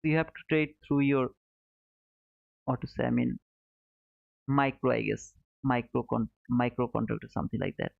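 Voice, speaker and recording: A man's voice: medium pitch, little pitch movement, moderate pace, creaky, pauses often. Phone-like sound, man chatting on stream. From Bangladesh. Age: 30s. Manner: repeats short phrases, informal and chummy address. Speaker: live trading stream host. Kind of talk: casual chat, answering viewer comments. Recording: narrow phone-like sound, roomy echo.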